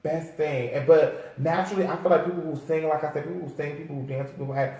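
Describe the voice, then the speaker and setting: A man's voice: a little high, steady tone, fast, darkish tone. An American man hosting a casual interview in an effusive, encouraging, sassy and slangy way. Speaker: host interviewing a guest; makeup artist. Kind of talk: casual, chatty interview talk. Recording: very echoey room, far from mic.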